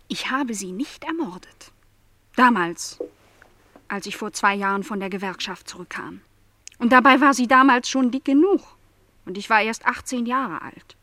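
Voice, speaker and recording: Young maid in a radio play, lonely n stubborn, bitter but measured, really dry sound. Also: female voice, mid-range voice, bright, forceful tone, no room sound. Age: young adult.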